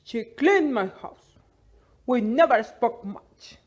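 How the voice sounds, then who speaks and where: A woman's voice: fairly high pitch, expressive, slow pace, slight background noise. A woman voicing an indignant, fearful old miser in a dramatised storybook reading, with dramatic exclamations. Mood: bewildered.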